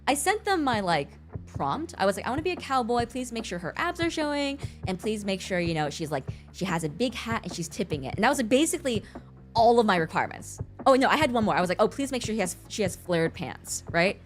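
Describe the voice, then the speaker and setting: A woman's voice: medium pitch, sing-song, fast talker, soft background music. A young woman with an American accent and Mandarin as her first language, chatting casually as a livestreamer in a giggly, talkative way. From born in China, raised in the US from about age six. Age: young adult. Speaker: streamer.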